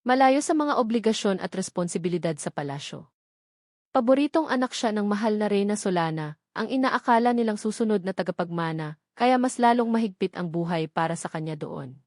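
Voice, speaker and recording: A gentle older godmother voiced by a woman in a romantic audio drama. She is soft and soothing, with a worried, confiding manner. Her pitch is mid-range and the sound is dry. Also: lively intonation, fairly quick, no room sound, natural voice. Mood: gentle explaining.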